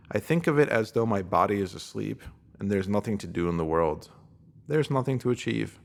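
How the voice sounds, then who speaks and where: A man narrates a scripted motivational text in a low voice with heavy vocal fry. His delivery is measured and instructive, in the manner of a guided meditation. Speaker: narrator reading a written self-help post.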